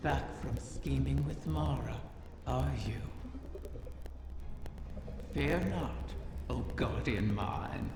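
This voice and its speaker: An actor voicing an ancient, sly, menacing dragon from a video game, who bargains in slow, teasing, archaic phrases. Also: mid-range voice, creaky. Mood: teasing, calculating. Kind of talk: theatrical video game character dialogue. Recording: long cavernous reverb, faint ambient noise.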